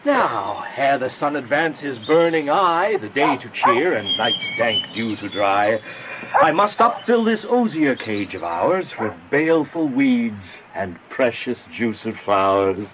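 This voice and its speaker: An actor playing a wise, fatherly monk in a Shakespearean radio drama, speaking verse in a deliberate, sententious way. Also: medium pitch, big pitch swings, noisy background. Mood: musing, solemn.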